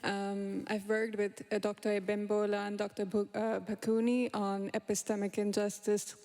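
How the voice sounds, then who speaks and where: A woman's voice: mid-range voice, little pitch movement, moderate pace, darkish tone. A woman physician from India introducing herself on a panel, hesitant with fillers. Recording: reverberant conference hall.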